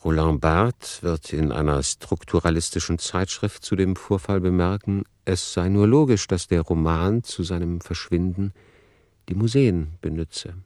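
A man playing a reflective author who narrates a literary radio play in a deliberate, ponderous manner. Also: sits low, a little mellow, dry recording, studio quality. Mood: measured, ironic. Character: reflective male author musing over his novel.

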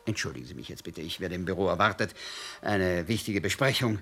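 An actor playing a reserved, formal diplomat who answers a police inspector politely but evasively, in a radio play. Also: male voice, deep voice, a little fast, gravelly, pushed and bright, soft background music, heavily acted. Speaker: diplomat, the wife's husband.

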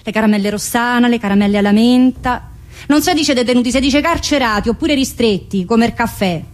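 A woman's voice: fairly high pitch, fairly quick. A woman, an actress, reciting a theatrical monologue, in short clipped fragments. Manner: clipped, listing sensory fragments.

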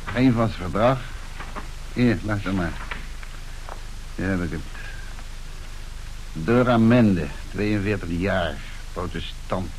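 A low dry cynical crook's sidekick, ex tax man, old radio drama w some hiss. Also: poor audio. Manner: dry, matter-of-fact. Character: seedy sacked tax official, dry cynical henchman. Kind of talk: radio play acting. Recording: hissy old radio recording.

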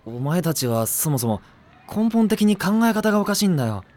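Sensible, lightly high-voiced schoolboy. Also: male voice, very animated, fairly quick, darkish tone. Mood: dry, reasoning. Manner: level-headed, dry, casual. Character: sensible, mild, exasperated teenage schoolboy. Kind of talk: anime audio drama acting.

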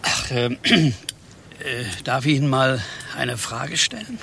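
Male programmer: flustered, halting, bright and creaky voice, radio drama. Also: medium pitch, expressive, slight background noise, exaggerated voice. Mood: anxious, awkward. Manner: stammering, halting, throat-clearing. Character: flustered, insecure, monogamous married male office programmer. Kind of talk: radio play acting.

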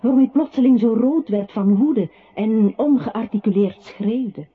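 An actress playing a narrating voice in a literary radio play, observing a composer who withdraws into silence. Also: female voice, on the high side, unhurried, husky. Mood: sombre. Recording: muffled, noisy old radio recording.

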